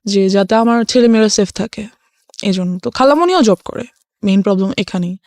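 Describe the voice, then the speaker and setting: A woman's voice: mid-range voice, a little fast, a little mellow, no room sound, clean studio sound. A woman with a Bangladeshi accent telling her own life story as an interview guest, slipping English phrases in. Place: radio studio.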